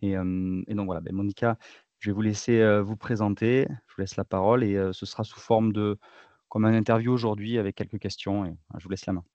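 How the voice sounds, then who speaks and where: Male host, lowish voice, hesitant intro, dry and slightly dull lo-fi audio. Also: steady tone, a little fast, a little mellow, no echo. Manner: hesitant, filler-heavy introduction. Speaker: chapter co-lead and event host. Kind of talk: introducing a webinar.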